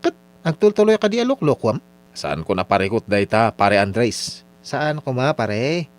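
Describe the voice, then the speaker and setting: Male actor as idle young playboy, Ilocano accent, radio comedy-drama, highly animated intonation. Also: normal speed, dry recording, close to an everyday voice. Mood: animated banter. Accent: Ilocano Filipino.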